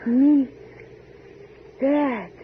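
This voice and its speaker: A simple, childlike worker in a 1940s radio play. The voice is high and drawn out very slowly, in broken, primitive phrases. The recording is narrow and tinny. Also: female voice, a little hiss or hum. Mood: simple, gentle. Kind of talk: 1940s radio drama acting.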